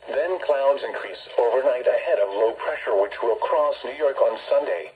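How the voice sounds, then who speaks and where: A man's voice: mid-range voice, lively intonation, normal speed, phone-quality sound, background noise. A synthetic male announcer reading a formal scripted weather bulletin, heard through a radio speaker.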